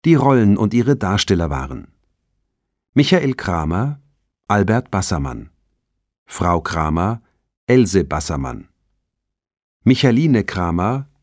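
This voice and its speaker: Man, radio announcer, fairly low pitch, slow measured reading, formal, dry sound. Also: no echo.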